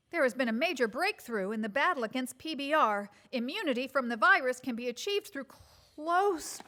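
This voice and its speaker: A woman's voice: high-pitched, sharp tone, exaggerated voice. A woman playing a prim, self-conscious TV news anchor with a dramatic, flustered manner in a comedy sketch. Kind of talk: comedy sketch acting, theatrical.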